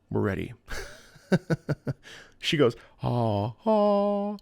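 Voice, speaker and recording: Man, music reaction host, enthusiastic, sings along, gravelly, dry recording. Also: medium pitch, darkish tone, dry recording. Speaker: opera singer. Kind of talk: casual music analysis.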